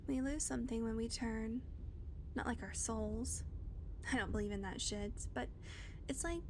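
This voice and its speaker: A woman voicing a blunt, foul-mouthed female vampire in an audio roleplay, talking sarcastically and dropping into soft murmurs. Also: a little high, normal speed, background noise, natural voice. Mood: musing.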